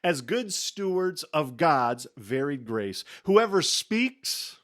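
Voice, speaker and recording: Male scripture reader, higher-set voice, unhurried and solemn, theatrical emphasis, weighty pauses. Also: big pitch swings, a bit bright. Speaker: Bible reader. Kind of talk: dramatic scripture reading.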